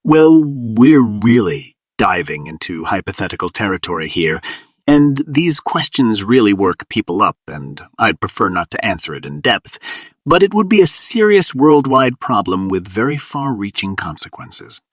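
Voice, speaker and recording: A man playing a calm, cautious volcano scientist in a measured, formal and reassuring expert interview. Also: lowish voice, no echo, studio quality.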